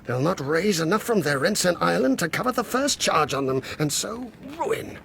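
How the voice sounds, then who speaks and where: A scheming moneylender in a period radio play, his high voice swooping and creaking. He mocks with theatrical emphasis, by turns menacing and oily. There is faint hiss on the recording. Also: expressive, moderate pace, a bit bright. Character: cunning, menacing male moneylender and coachmaker, oily when cornered. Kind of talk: period radio drama acting.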